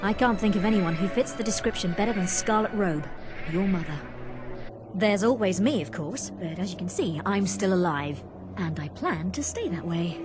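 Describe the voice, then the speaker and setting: A woman's voice: mid-range voice, animated, moderate pace, lightly acted. Wry, self-assured woman-acted adventurer over music. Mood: wry, explaining.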